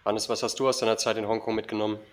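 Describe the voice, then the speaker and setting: A male host speaks quickly in a fairly low voice. His casual interviewing style is halting, with words often repeated as he forms a thought. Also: level tone, a little hiss or hum.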